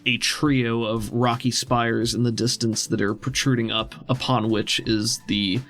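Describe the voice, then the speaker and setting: A man's voice: medium pitch, level tone, measured pace, a bit bright. A man narrating a tabletop role-playing game as game master, describing things in a conversational, improvised way. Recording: music under the voice. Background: background music.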